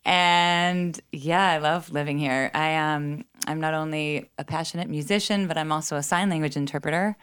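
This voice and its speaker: A woman's voice: deep voice, fairly even intonation, moderate pace, few pauses, a bit bright, clear, resonant tone. A middle-aged American woman from Connecticut, chatting casually and earnestly as a guest musician. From Connecticut, later Southern California. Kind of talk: casual chat. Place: music studio.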